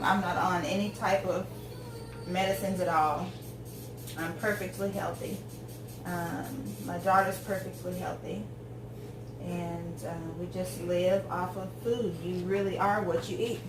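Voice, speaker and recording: A woman's voice: fairly low pitch, fairly even intonation, takes it slowly, distant mic, music in the background. Chatty fortysomething woman cooking in an echoey kitchen. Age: 40s. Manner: rambling, talkative, many ums and tangents. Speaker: home cook vlogger. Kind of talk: casual chat while cooking. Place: home kitchen.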